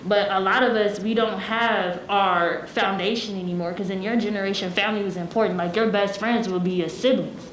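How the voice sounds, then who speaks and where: Young female panelist from the US, mid-pitched, conversational and explanatory, in a reverberant room with faint noise. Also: steady tone, a little fast, few pauses. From United States. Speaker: town hall panelist. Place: echoey room at a town hall forum.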